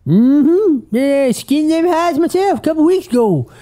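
A woman's voice: high voice, animated, measured pace, dark tone. A woman playing a creepy rural old male gas station attendant in an audio drama, drawling with backwoods phrasing. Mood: menacing. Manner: drawling backwoods talk with "reckon" and "boy". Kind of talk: radio horror drama.

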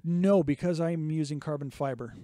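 A man in his thirties, slightly hoarse, co-hosting a casual hobby podcast and explaining projects step by step. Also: on the high side, moderate pace, mellow, no room sound. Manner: chatty, explains step by step with restarts. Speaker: podcast co-host. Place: small home workshop indoors. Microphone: studio mic on boom arm, close. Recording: dry close podcast mic.

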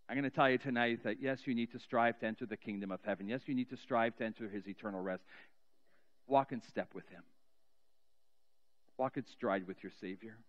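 A middle-aged man preaching as a pastor in a church sanctuary, telling a story earnestly and slowing down with soft, trailing endings. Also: lowish voice, highs cut off. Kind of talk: sermon storytelling and spoken prayer. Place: large church sanctuary, indoors. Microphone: cheek headset mic.

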